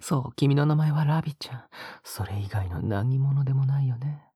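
A man playing a breathy, possessive young male captor. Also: mid-range voice, no echo, studio quality. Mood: coaxing, possessive. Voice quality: breathy, whispery, husky. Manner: slow, coaxing, condescendingly gentle. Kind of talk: intimate Japanese audio drama acting.

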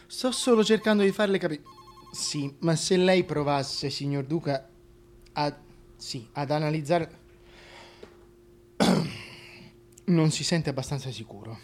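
Halting, cautious royal adviser. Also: male voice, a little high. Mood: cautious, probing. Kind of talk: theatrical dialogue.

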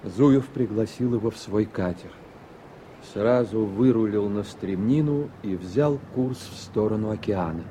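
A man reading scripted radio drama narration in a measured, literary storytelling manner. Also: lowish voice, dark tone, a little hiss or hum.

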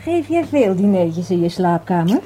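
A woman's voice: fairly low pitch, very animated, normal speed, warm tone, husky. An actress playing a policeman's affectionate, teasing girlfriend in a radio play, talking informally with a Netherlands Dutch accent. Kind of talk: naturalistic radio play dialogue. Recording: faintly noisy radio play recording.

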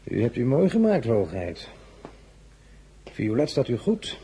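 An actor playing an imperious, coldly mocking emperor in a radio drama, speaking in a pointed, sarcastic, deliberate way. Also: male voice, medium pitch, huge pitch swings, mellow, a little hiss or hum. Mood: mocking, accusing. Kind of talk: radio play acting.

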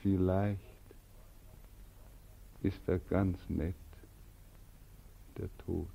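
An actor playing a bitter, exhausted, despairing young soldier home from war in a 1940s German radio drama, with a weary, brooding delivery. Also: male voice, deep voice, level tone, very slow, gravelly, warm tone, slightly rough, heavily acted. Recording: muffled 1940s radio recording, faint music.

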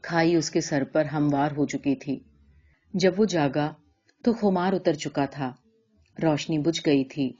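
A woman's voice: low voice, dark tone, dull audio. A woman narrator reading a literary short story as scripted narration.

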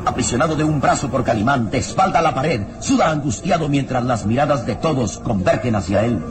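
A man's voice: medium pitch, lively intonation, a little fast, noisy background. A man narrating a radio serial in a solemn, suspenseful manner. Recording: old radio serial with music. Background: dramatic music.

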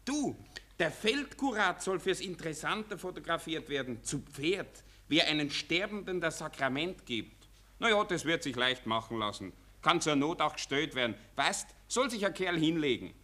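An actor playing a cynical, nonchalant young army lieutenant with a Viennese accent in a 1940s radio play. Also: male voice, on the high side, big pitch swings, normal speed, sharp tone. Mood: breezy, knowing. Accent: Viennese Austrian. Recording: old 1940s radio recording.

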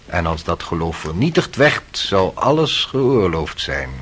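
Lilting, mocking society gentleman. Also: sits low, sing-song, unhurried, breathy edge, full character voice. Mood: ironic relish. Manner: ironic, storytelling with relish. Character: urbane, mocking society gentleman, needling a sceptic. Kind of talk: radio drama acting.

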